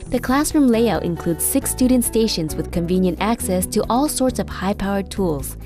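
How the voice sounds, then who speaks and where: A woman reading scripted documentary narration in a measured, formal way. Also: medium pitch, lively intonation, music in the background.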